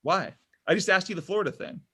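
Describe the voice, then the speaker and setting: A man's voice: high voice, fast, bright, forceful tone, husky, heavily acted. An actor at a table read playing a needy, pushy thirty-something man on a date, whiny, defensive and over-explaining. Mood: awkward, defensive. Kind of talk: table read of a comedy pilot.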